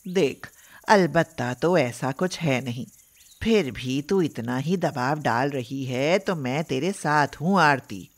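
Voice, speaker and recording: Cartoon mother-in-law, played by a woman narrator: middle-aged, suspicious, indignant, scolds and exclaims at an unhurried pace. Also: low-pitched, lively intonation, voice pushed down, full character voice. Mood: reassuring, firm.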